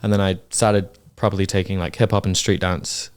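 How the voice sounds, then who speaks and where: Young male guest from England, low resonant voice, loose and conversational. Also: brisk, smooth. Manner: relaxed, laughs often, hesitant fillers. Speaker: podcast guest. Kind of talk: casual chat.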